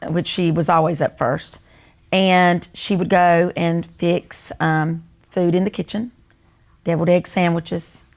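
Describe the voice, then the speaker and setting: A woman's voice: lowish voice, fairly even intonation, unhurried. A woman in her sixties with a North Carolina Southern accent, telling stories and reminiscing in casual conversation. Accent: North Carolina Southern American. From North Carolina, United States. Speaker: co-host.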